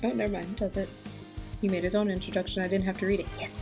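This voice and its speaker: A woman's voice: mid-range voice, fairly even intonation, racing, music in the background. A young woman giving casual reaction commentary as a video host in a room at home. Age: young adult. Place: small room indoors at home.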